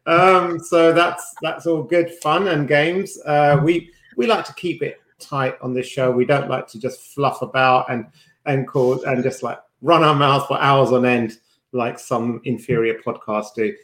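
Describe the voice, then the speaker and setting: A man's voice: mid-range voice, steady tone, unhurried, fairly bright tone. A man hosting a podcast in casual conversation, with a wry, joking manner. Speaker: podcast host. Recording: echoey, reverberant room.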